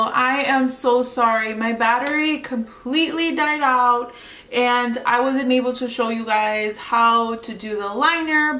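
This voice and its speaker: Slow-talking woman with a Mexican accent. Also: fairly high pitch, fairly bright tone. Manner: casual, chatty, trailing off while working. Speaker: beauty vlogger. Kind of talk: makeup tutorial talk. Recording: echoey room, mic at a distance, faint hiss.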